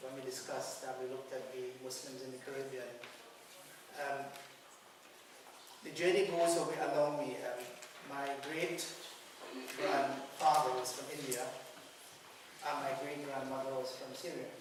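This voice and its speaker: A man from Trinidad, giving a measured lecture as guest speaker, pausing often. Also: medium pitch, flat delivery. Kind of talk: lecture to a student audience. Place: large hall. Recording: echoey hall from far off, noisy.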